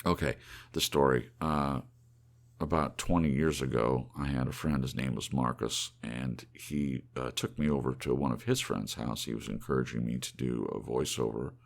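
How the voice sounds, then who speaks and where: A man's voice: steady tone, normal speed, gravelly. A man narrating as an amateur channel host, with rain and thunder sounds behind him. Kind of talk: poetry reading and casual listener chat. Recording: faint rumbling rain and thunder noise.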